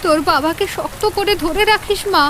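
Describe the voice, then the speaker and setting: A woman's voice: very high voice, brisk. An actress voicing a worried, loving village mother who speaks in an anxious, pleading way in a cartoon. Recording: rain noise behind.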